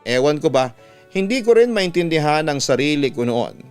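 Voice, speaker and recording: A man's voice: a little high, very animated, normal speed, darkish tone, clean tone. A man with a Filipino accent, a radio announcer narrating a listener's letter as a scripted story over music. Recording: music bed under the voice. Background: background music.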